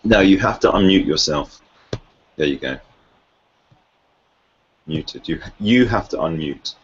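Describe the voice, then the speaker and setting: Slow, very deep-voiced man hosting a call. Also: lively intonation, dull audio, a little hiss or hum. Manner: patient, instructive. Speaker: meeting host. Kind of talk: casual video call chat. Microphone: video call.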